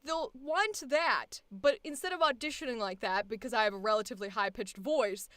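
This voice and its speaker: Casting: high, bright woman voice actor, animated and profane chat, dry close sound. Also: moderate pace, no echo. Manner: animated, swears a lot, laughs often. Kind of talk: casual chat.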